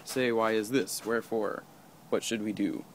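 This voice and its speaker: A man's voice: lowish voice, steady tone, moderate pace, lightly acted. Man acting a brooding prince, noisy recording. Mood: awed, pleading. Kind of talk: amateur stage reading of Shakespeare. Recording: some background noise.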